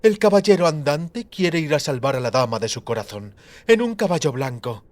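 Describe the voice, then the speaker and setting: A man voicing a stern, sarcastic high priestess who speaks brusquely and with authority. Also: sing-song, moderate pace, dry recording. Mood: mocking.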